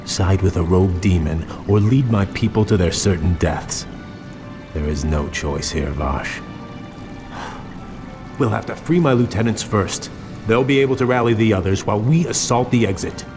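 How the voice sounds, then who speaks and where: An actor playing a proud, troubled young elf prince in a fantasy game, speaking in a grave, formal way. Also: male voice, on the low side, normal speed, close to an everyday voice. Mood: grave, resolute. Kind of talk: dramatic fantasy game voice acting. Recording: background music under the voice.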